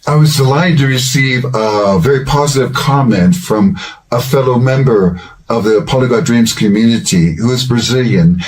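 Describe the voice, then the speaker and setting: An older man hosting a language-learning channel, giving scripted advice in a measured, earnest and encouraging way. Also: medium pitch, poor audio.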